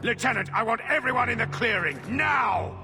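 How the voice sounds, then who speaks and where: Slave-hunter captain, game villain, commanding, menacing, raised pitch, swinging intonation, music behind. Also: male voice, lively intonation, normal speed, gravelly, exaggerated voice. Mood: commanding fury. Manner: barking, commanding. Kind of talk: video game character acting.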